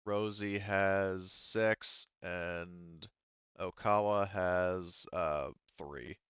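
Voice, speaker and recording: A man working as game master, talking casually through tabletop rules in a halting way, thinking aloud. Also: low voice, fairly even intonation, fairly bright tone, smooth, no room sound, muffled recording.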